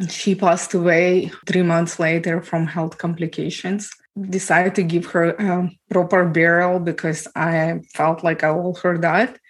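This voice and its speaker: A woman from Ukraine speaking English with a Ukrainian accent and small grammar slips, telling her life story as an interview guest in a steady, matter-of-fact way. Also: lowish voice, unhurried. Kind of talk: personal testimony in an interview.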